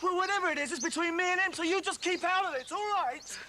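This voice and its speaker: A flustered boss who pleads his innocence in a very high voice. Clear background noise runs through the scene. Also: fast talker, bright, forceful tone. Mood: defensive. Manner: defensive, pleading.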